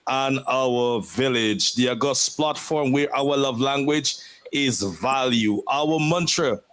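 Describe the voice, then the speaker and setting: A man's voice: mid-range voice, takes it slowly, a bit bright. A man hosting a podcast, giving an upbeat introduction in an emphatic way with repetitive lists. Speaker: podcast host.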